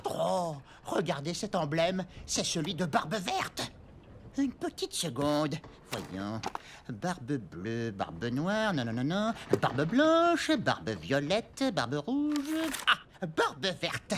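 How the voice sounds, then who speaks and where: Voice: mid-range voice, sing-song, slow, a little hiss or hum. An actor voicing a cautious, fretful, knowledgeable pirate crew cook in a cartoon, with a wary, explanatory manner. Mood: intrigued. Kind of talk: French animated adventure cartoon voice acting.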